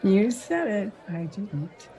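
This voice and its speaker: A woman's voice: medium pitch, very animated, takes it slowly, dark tone, soft background music. An actress playing a knowing, worldly frontier saloon woman, wry and teasing, in a radio western. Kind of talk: old-time radio western acting.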